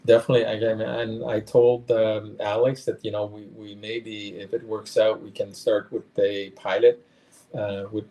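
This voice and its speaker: A man's voice: lowish voice, little pitch movement, normal speed, dark tone, poor audio. A middle-aged man, a guest talking casually and hesitantly with many fillers and restarts. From France. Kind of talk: casual conversation.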